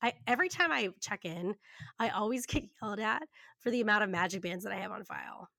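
A woman's voice: medium pitch, expressive, fast talker, vocal fry, pushed and bright, dry recording. A woman hosting a podcast in casual conversation, talking in a candid, emphatic, chatty way with free swearing. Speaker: podcast host.

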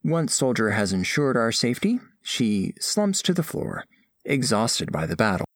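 A man's voice: mid-range voice, sing-song, unhurried, no room sound, studio quality. A man playing an earnest, formal alien scholar, narrating a scripted audio drama in precise, literal wording. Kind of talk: scripted first-person narration.

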